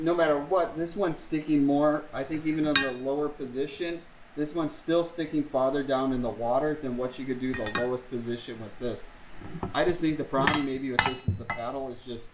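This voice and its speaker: A man's voice: mid-range voice, background noise. Rambling man in an echoey garage. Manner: rambling, thinking aloud with many fillers. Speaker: vlogger. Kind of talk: casual how-to vlog. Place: home garage.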